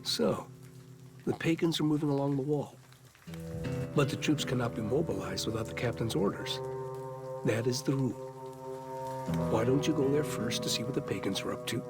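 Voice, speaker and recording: Casting: male actor as rule-bound army officer, medium pitch, businesslike, loud music underneath. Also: normal speed, long pauses, close to an everyday voice. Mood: formal. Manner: formal, businesslike. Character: dutiful, by-the-book military officer. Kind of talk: video game cutscene acting.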